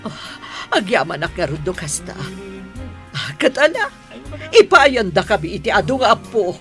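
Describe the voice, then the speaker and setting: Actress doing a kindly radio drama mom, really animated and affectionate, faint music behind. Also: female voice, medium pitch, normal speed, lightly acted. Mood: affectionate, happy. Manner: emotive, affectionate. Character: kindly, approving mother welcoming her daughter-in-law.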